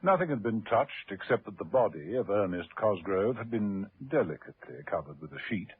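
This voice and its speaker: A man's voice: fairly low pitch, big pitch swings, fairly quick, breathy edge, dry recording, phone-quality sound, full character voice. A man narrating a scripted suspense serial for radio as its storyteller. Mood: measured, wry. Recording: band-limited old radio recording with music. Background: music.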